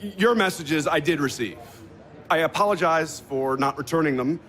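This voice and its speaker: A man's voice: on the high side, normal speed, a bit bright, close to an everyday voice. A man playing a curt, contemptuous businessman in a stage comedy-drama, blunt and evasive with a cool formality. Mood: cool, dismissive. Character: curt, contemptuous businessman brushing off an unwanted date.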